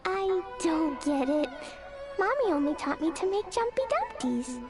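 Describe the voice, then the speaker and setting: A woman's voice: extremely high pitch, very animated, measured pace, heavily acted. A woman voicing a cheerful, curious little girl who talks in an innocent, childlike way, over game music.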